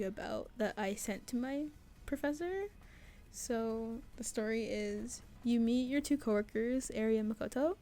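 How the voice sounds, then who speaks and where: A woman's voice: a little high, dark tone. A young woman student vlogging casually, rambling with many fillers and restarts. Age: young adult. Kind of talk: casual devlog chat.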